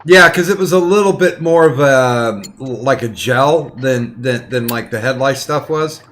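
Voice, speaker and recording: A man in crude casual podcast banter who hesitates a lot, with frequent ums and restarts, and delivers the jokes deadpan. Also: medium pitch, a little slow, a little hiss or hum.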